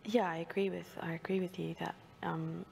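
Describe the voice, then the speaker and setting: A woman's voice: fairly low pitch, flat delivery, moderate pace, gravelly, darkish tone, big-room sound, slight background noise. A woman on a studio panel, thinking aloud with hesitant phrasing and many fillers. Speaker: panel guest. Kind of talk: panel discussion.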